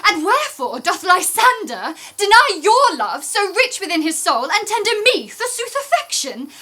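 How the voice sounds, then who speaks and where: A woman's voice: very high voice, sing-song, moderate pace, sharp tone, heavily acted. An actress playing a wounded, indignant young woman in a theatrical Shakespearean radio play, with declamatory, dramatic delivery. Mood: bitter, hurt. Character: Helena, wounded indignant young woman, easily frightened lover.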